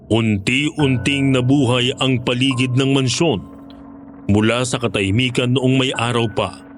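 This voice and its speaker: A man's voice: mid-range voice, natural voice. A man with a Filipino accent narrating as a former hunter recounting a horror story. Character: former male aswang hunter recounting his experience. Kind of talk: scripted horror story narration in first person. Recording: music bed under the narration.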